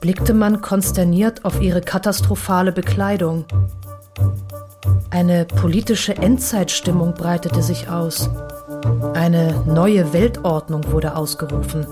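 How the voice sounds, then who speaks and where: Female narrator for literary radio drama: lower register, measured matter-of-fact delivery, prominent music bed. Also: steady tone. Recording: studio voice over prominent music.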